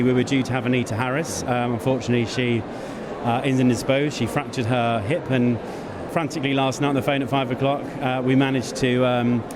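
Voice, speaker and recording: A man with a mid-pitched voice gives unscripted interview answers. His phrasing is halting and hesitant, full of pauses. He is recorded backstage with clear noise behind him. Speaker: awards event producer being interviewed. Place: backstage at an events venue. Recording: noisy busy backstage location.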